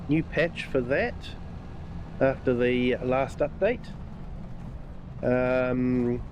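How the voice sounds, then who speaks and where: A man with a New Zealand accent chatting through a game live stream in a relaxed, rambling way with lots of fillers, his nose a bit stuffed up. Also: mid-range voice, slight background noise. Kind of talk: casual live-stream commentary.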